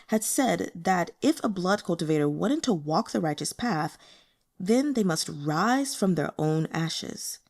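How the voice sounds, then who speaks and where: A woman reading fiction aloud as an expressive audiobook narrator. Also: lowish voice. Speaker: audiobook reader.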